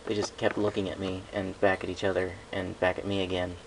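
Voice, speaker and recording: A man's voice: low voice, monotone, fairly quick, creaky, slight background noise, low-quality recording. A man narrating a first-person story from a script, in a home recording. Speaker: fan fiction reader.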